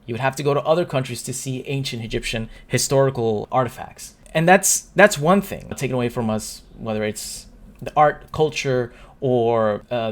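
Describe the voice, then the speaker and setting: A young man speaks straight to his camera in a mid-pitched, everyday voice. He sounds upset but earnest, and he stumbles and restarts his sentences as he works through his point. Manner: earnest, stumbling, restarts and trips over words. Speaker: YouTuber. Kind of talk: talking-to-camera opinion vlog.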